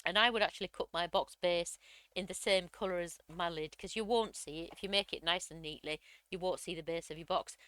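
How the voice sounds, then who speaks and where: A woman, slightly croaky from a cold, chattily walking through a crafting software tutorial step by step. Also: fairly low pitch, fairly quick, no echo. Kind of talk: screen-recorded software tutorial.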